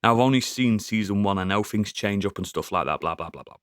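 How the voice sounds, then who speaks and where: A man co-hosting a podcast, chatting casually about a TV show, sweary and excitable, laughing often. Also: lowish voice, fast, dry recording, clean studio sound. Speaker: podcast co-host. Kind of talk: casual review chat.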